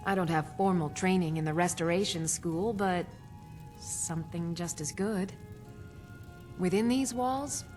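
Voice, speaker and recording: A woman's voice: medium pitch, lightly acted. Self-assured woman playing a fantasy apothecary. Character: matter-of-fact, self-assured female apothecary shopkeeper. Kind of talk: video game character dialogue.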